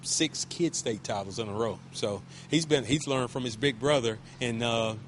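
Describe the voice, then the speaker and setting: A man, a wrestling coach, talking casually in an interview with a loud voice, emphatic and repetitive, with many restarts. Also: medium pitch, normal speed, slight background noise.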